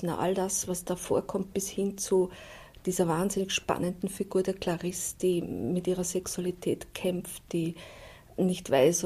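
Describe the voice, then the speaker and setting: A woman speaks as an interviewee, listing her points with emphasis. Her voice is lowish and husky, with a soft dark tone. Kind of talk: unscripted interview answer.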